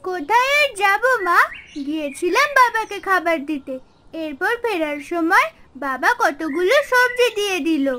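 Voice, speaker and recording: A woman's voice: extremely high pitch, expressive, normal speed, pushed and bright, a little hiss or hum, full character voice. A woman voicing a kind, caring little village girl in a fairy-tale cartoon, earnest and coaxing. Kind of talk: animated fairy-tale dialogue. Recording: music behind the voice.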